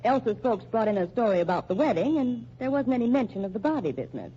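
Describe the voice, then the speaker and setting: An actress playing a sardonic, dogged American newspaper reporter in a brash, needling 1940s radio style. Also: female voice, fairly high pitch, expressive, fast talker, mellow, lightly acted. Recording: muffled old radio recording, faint noise.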